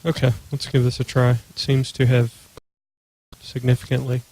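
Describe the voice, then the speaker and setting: A man's voice: mid-range voice, completely flat delivery, moderate pace, a little mellow, low-quality recording. A man with a Midwestern American accent chatting casually as a podcast co-host in brief, easygoing replies. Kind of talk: casual chat.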